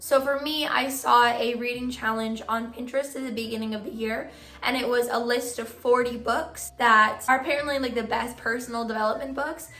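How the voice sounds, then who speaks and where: A young woman vlogging casually to her viewers, giving friendly reading tips, her voice a bit hoarse from losing it. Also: on the high side, steady tone, a little fast, bright, forceful tone, roomy sound, soft background music. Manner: friendly advice-giving, filler "like". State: partly lost voice, hoarse. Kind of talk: casual vlog talk to camera.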